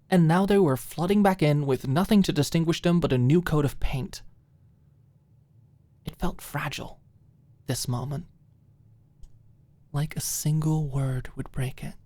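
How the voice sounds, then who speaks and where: A woman's voice: low-pitched, darkish tone, dry recording. A woman narrating scripted fiction as an ASMR audiobook storyteller.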